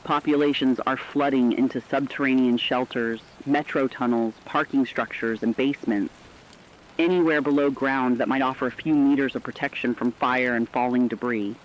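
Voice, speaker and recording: Guy doing a serious male radio drama reporter, urgent breaking news, mid pitch, dull lo-fi sound. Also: fairly even intonation, normal speed, a little hiss or hum, close to an everyday voice.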